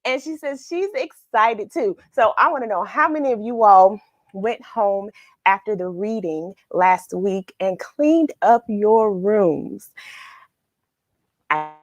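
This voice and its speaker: A woman hosting a live read-along for children, chatting with viewers in an upbeat, excited manner. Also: medium pitch, takes it slowly, no echo.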